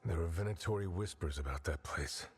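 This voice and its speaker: A secretive fantasy rebel leader speaks in a very deep voice. His delivery is grave and measured, as in a game cutscene briefing. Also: slightly rough, heavily acted. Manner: measured, serious. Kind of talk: video game cutscene acting.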